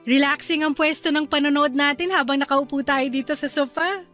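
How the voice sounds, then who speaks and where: High female voice, affectionate young wife, chatty, radio drama, music underneath. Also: fairly even intonation, fairly quick, a bit bright. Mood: cheerful, caring. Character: affectionate young wife and new mother at home. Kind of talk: Filipino radio drama dialogue.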